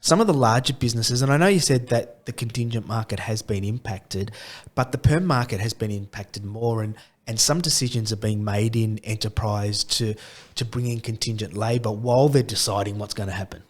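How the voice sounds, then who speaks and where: A man hosting a podcast, his voice husky from a cold, chatting in an upbeat way. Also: on the low side, fairly quick. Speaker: podcast host. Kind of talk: casual podcast chat.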